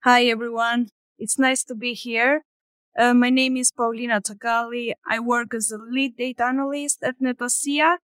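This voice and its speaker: A woman's voice: a little high, flat delivery, moderate pace, a bit bright, clear, resonant tone, no echo. A woman from Albania, introducing herself as a panel guest on a video call. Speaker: panel guest, data analyst.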